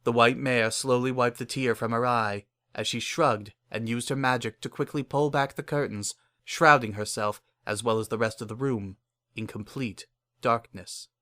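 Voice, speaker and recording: Male narrator for scripted fiction, mid-pitched reading voice. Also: fairly even intonation, moderate pace, a bit bright.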